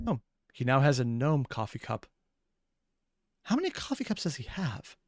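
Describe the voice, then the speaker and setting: A man's voice: mid-range voice, sing-song, moderate pace, dry recording. A man hosting a reaction video, commenting casually in a rambling, analytical way.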